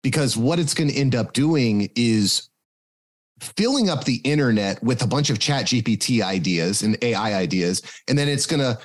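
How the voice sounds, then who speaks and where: A man who runs a podcast agency, moderating a casual live chat and telling stories in a relaxed way. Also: medium pitch, moderate pace. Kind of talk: casual conversation.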